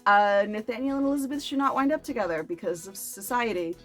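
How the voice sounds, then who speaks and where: A woman's voice: fairly high pitch, animated, quick pace, soft background music. A woman chatting casually to camera as a book review vlogger, with playful, self-deprecating asides.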